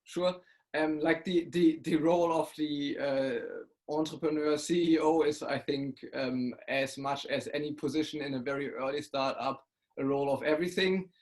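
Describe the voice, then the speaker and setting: A young man from Germany, a startup CEO answering haltingly with many fillers and restarts over a video call. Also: on the high side, steady tone, a little mellow. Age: young adult. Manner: hesitant, many ums and restarts. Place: home study with bookshelves.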